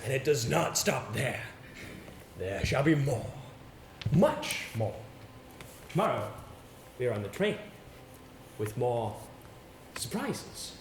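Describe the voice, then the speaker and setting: A man acting in an amateur stage play as an earnest, confident young gentleman, formal, deliberate and declamatory. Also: medium pitch, sing-song. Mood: persuasive. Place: indoor theatre stage. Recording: echoey stage recording with background hiss.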